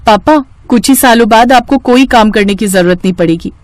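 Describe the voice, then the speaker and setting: A woman's voice: fairly high pitch, fairly quick, dry recording, lightly acted. Lady doing righteous young daughter from a village tale, earnest and defiant, dry close recording. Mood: hopeful. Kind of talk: dramatized moral story acting.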